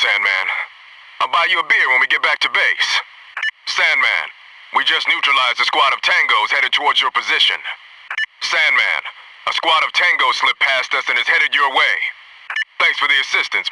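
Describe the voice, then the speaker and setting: A man's voice: a little high, distant mic, background noise, natural voice. A man playing an alert, disciplined Navy SEAL squad soldier in a military video game, giving clipped, businesslike tactical reports. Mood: appreciative.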